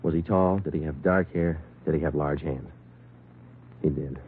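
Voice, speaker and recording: A man playing a terse, steady police sergeant in an old radio crime drama, clipped and deadpan. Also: very deep voice, normal speed, slight background noise. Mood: patient, steady. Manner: clipped, matter-of-fact, deadpan. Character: terse, steady police sergeant detective narrating his case. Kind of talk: 1950s radio crime drama acting and narration. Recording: muffled old radio recording with music.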